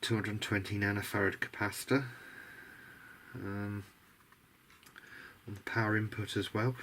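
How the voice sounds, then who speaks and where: Low-voiced man musing aloud over noise. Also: fairly bright tone. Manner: musing, tentative, thinking aloud. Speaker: video diary host, electronics hobbyist. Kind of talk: casual unscripted bench commentary while working. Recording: noticeable background noise.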